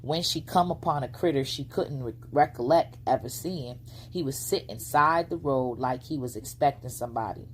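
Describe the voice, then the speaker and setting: A woman's voice: extremely low pitch, normal speed, a little hiss or hum. A woman reading a bedtime storybook aloud as its narrator. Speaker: storybook reader.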